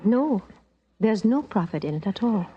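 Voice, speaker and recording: A woman's voice: mid-range voice, lively intonation, normal speed, breathy edge. A vivacious but guarded young secretary in a vintage radio adventure. She talks in a cool, knowing, teasing way. The old recording is dull and lo-fi. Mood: cool, probing. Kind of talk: old-time radio drama acting.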